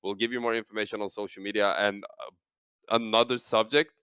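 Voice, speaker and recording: A man casting esports in a casual, energetic post-game chat. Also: on the low side, fairly quick, smooth, no echo. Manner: energetic, excitable, loud sign-offs. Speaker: esports caster.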